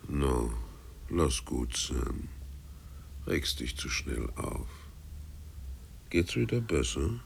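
An actor playing a spiteful, goading sailor in a radio drama, with a hoarse, gravelly voice and a taunting way of talking. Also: male voice, extremely low pitch, sing-song, a little hiss or hum, heavily acted. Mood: subdued, uneasy. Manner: jeering, taunting, drawn-out mock sweetness. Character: spiteful, goading male sailor, sneering bully.

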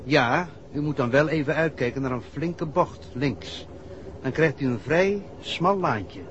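An old, dull radio recording with faint noise features an actor playing a country clergyman. The man's voice is fairly high, and he is courteous, unhurried and chatty, as in 1960s radio drama. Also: natural voice. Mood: helpful. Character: helpful, chatty country clergyman giving directions.

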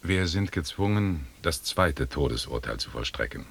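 An actor playing a stern expedition commander in a radio play, formal and commanding, with a husky voice. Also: male voice, rumbling low, lively intonation, moderate pace, background noise, exaggerated voice. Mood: grim, formal. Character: stern British expedition commander in colonial Africa. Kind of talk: 1980s German radio play acting.

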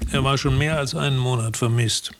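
An actor playing a formal, detached military psychiatrist with a creaky voice in a radio drama. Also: male voice, medium pitch, fairly even intonation, fairly quick, noisy background, lightly acted. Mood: detached, inquiring.

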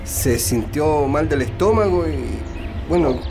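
A man's voice: a little high, animated, slow pace, creaky, music in the background, full character voice. An actor playing a considerate young Chilean man, a son-in-law who talks carefully and hesitantly in a radio drama. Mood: careful, reassuring. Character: considerate son-in-law gently breaking bad news.

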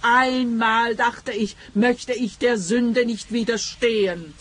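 An actress playing a quirky, self-justifying thief in a comic radio play, theatrical and earnest. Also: female voice, fairly high pitch, steady tone, slow pace. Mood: pleading, earnest.

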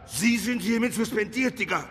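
An actor playing a blustering, sarcastic police chief giving orders in a crime radio drama. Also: male voice, high-pitched, moderate pace, a bit bright, in a large hall, slight background noise. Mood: exasperated. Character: gruff, irascible male police chief.

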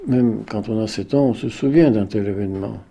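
A man in his eighties recalling wartime memories in a grave, deliberate way, sometimes searching for words. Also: lowish voice, gravelly, mellow, slightly rough. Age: 80s. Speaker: interviewee, witness. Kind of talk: slow, measured recollection.

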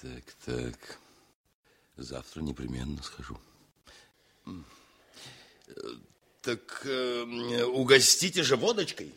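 An actor playing a jaunty carousing officer in a radio drama, with a hearty, drawn-out, theatrical delivery. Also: male voice, fairly low pitch, pushed and bright, breathy edge, full character voice. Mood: thoughtful, cajoling. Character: jaunty carousing officer looking for vodka and a gamble. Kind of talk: Soviet radio drama acting. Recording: 1971 radio recording, noisy crowd behind.

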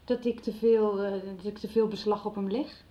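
A woman's voice: mid-range voice, fairly even intonation, normal speed, lightly acted. An actress playing an earnest, indignant schoolteacher in a radio drama, direct and insistent in her questions. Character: indignant, earnest female schoolteacher defending herself. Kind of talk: 1990s radio drama acting. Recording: slightly dull radio drama recording.

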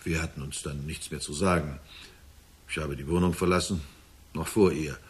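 An actor playing a troubled middle-aged father under suspicion in a radio drama, defensive and halting as he justifies himself. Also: rumbling low, fairly even intonation. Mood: defensive, bitter. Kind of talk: German radio drama acting.

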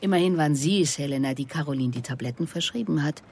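A woman's voice: low voice, fast, a little mellow, husky. A woman playing a persistent police investigator in a German radio drama, asking controlled, pointed questions. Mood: probing.

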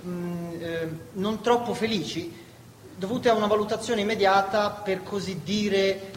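An evasive doctor with a high-pitched voice. He hesitates and hedges like a bureaucrat over bad news. The theatre recording is very reverberant, with clear background noise. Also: steady tone. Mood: hesitant, evasive. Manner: halting, hedging, bureaucratic. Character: evasive male doctor hedging a grim prognosis. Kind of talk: live theatre acting. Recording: noisy, echoey theatre hall.

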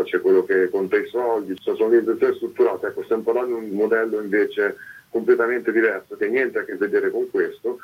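A man's voice: on the low side, husky. A man being interviewed, explaining things informally in a discursive, analytical manner. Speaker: interviewed author. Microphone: phone call. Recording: muffled narrowband telephone line.

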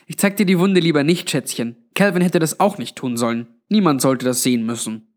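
A man voicing a kindly, anxious old grandmother with a hushed, pleading manner in a narrated story. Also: fairly high pitch, fairly quick, voice pushed up, natural voice. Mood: regretful. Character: kindly but anxious old granny, undead innkeeper.

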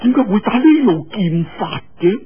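Man playing puzzled young swordsman, husky voice. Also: sits high, normal speed, highs cut off, pitched above the performer's own voice. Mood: brooding. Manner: questioning, hesitant, sighing.

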